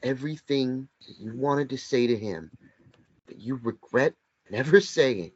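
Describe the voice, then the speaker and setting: A man voicing the ghostly memory of a dead lover in a table read of a stage play, speaking in a slow, gentle, earnest way. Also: medium pitch, animated, breathy edge. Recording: dull lo-fi sound.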